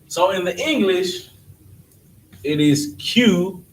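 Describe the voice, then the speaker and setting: A man's voice: high-pitched, lively intonation, takes it slowly. A man teaching a class on alphabet letters, casual and often checking that his students understand. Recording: echoey room, distant mic, faint noise.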